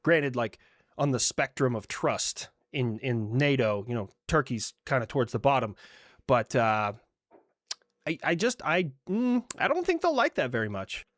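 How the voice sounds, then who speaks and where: A man's voice: medium pitch, big pitch swings, unhurried, fairly bright tone, dry recording. A man playing a blunt, weary war leader in a comedic political roleplay, with dry and sarcastic delivery.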